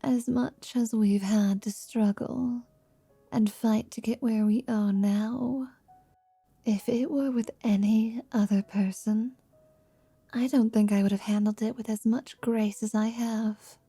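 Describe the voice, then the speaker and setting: A woman playing a confident, teasing half-vampire wizard in an intimate ASMR roleplay, speaking in a soft, languid whisper. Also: fairly high pitch, steady tone, takes it slowly, breathy edge, no room sound, faint music behind, exaggerated voice. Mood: tender.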